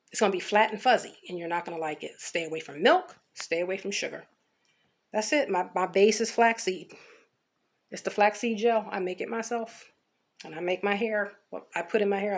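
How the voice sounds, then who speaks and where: An American woman giving a casual, chatty hair care update, talking informally and rambling with some repetition. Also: mid-range voice. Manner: informal, rambling, repeats herself. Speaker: natural hair care vlogger.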